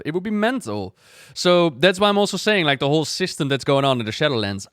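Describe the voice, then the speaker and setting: A man with a clear, smooth voice of average pitch gives casual game reaction commentary. He thinks aloud like a streamer chatting to viewers, recorded dry and close. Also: fairly bright tone, no echo.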